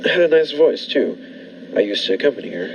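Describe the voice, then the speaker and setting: A man playing a sinister, falsely solicitous captor in a 1940s-style radio thriller, insinuating and unhurried. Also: medium pitch, animated, gravelly. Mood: insinuating menace. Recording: dull lo-fi recording, faint noise.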